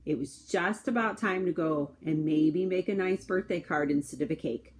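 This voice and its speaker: A woman's voice: low voice, lightly acted. A woman voicing an aggrieved wolf who excuses himself in a folksy, insistent way, reading a children's picture book aloud. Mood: indignant. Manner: folksy, insistent, drawn-out emphatic storytelling. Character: aggrieved, self-excusing wolf telling his side of events.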